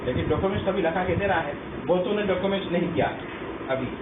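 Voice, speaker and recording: Male official on hidden-camera audio, fast and rambling, tinny, distant, echoey, noisy. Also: high voice. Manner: explanatory, rambling. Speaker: election booth official. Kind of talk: secretly recorded conversation.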